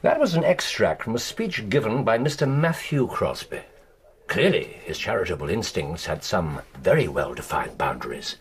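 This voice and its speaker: An actor playing an incisive, commanding British detective in a radio drama, with precise, theatrical delivery and dramatic pauses. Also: male voice, fairly low pitch, sing-song, husky, heavily acted. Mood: stern. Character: incisive, commanding male detective laying out a case. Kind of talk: BBC radio drama acting.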